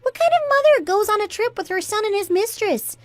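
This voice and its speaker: A woman's voice: extremely high pitch, animated, a little fast, dry recording, soft background music. A woman playing a fed-up, sarcastic daughter-in-law in a melodramatic radio drama. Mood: scornful, indignant. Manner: sarcastic, taunting. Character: scornful, fed-up adult daughter-in-law, Anna, defiant and mocking. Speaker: voice actor in an online radio drama.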